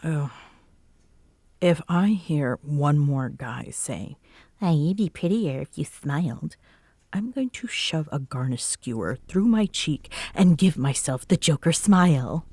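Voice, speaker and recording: A woman's voice: low voice, expressive, takes it slowly, mellow, dry recording, full character voice. A woman playing a tired, sarcastic bartender roommate who teases but is secretly fond, in an intimate roleplay audio. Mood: weary sarcastic. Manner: sarcastic, teasing, hesitant when sincere. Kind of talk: roleplay audio acting, intimate one-sided conversation.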